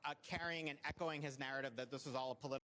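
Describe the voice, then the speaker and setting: A man reporting live on a political hearing, brisk with frequent filler uhs. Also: mid-range voice, steady tone, bright, forceful tone.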